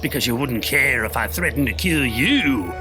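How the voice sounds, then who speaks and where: An actor playing a cruel, taunting villain in a cinematic audio drama, gloating with a sneering, menacing delivery. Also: male voice, medium pitch, lively intonation, moderate pace, lightly acted. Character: taunting, cruel male villain gloating over his captive. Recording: loud cinematic music behind. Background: dramatic music score.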